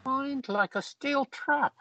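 A man's voice: extremely high pitch, slow, gravelly, pushed and bright, no echo, muffled recording, exaggerated voice. A man playing a joking eldest brother who teases his younger sister. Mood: teasing. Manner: teasing, jokey banter. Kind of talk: amateur audio drama acting.

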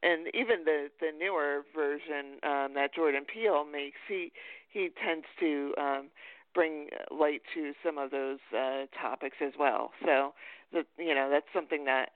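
A woman's voice: sits low, measured pace, no echo. A woman hosting a casual interview, speaking in a hesitant, meandering way. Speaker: podcast host, interviewer. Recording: tinny phone-like line, dry.

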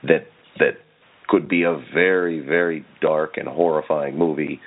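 A man with an extremely low voice interviews casually, in halting, chatty phrases. The sound is narrow and muffled, like a phone line. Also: steady tone. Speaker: podcast interviewer.

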